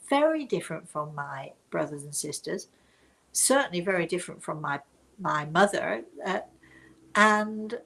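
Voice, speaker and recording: Older woman storyteller with a creaky voice. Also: medium pitch. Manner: emphatic, deliberate, with rhetorical questions and drawn-out words. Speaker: speaker and storyteller hosting her own show. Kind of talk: reflective personal storytelling addressed to viewers.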